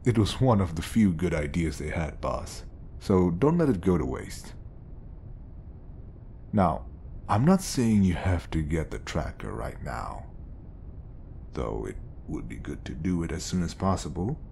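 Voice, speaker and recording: A man playing a smooth, scheming, obsessive bodyguard who feigns polite professionalism in an audio roleplay. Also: low voice, big pitch swings, measured pace, long pauses, warm tone, a little hiss or hum, heavily acted. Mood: reassuring, insistent.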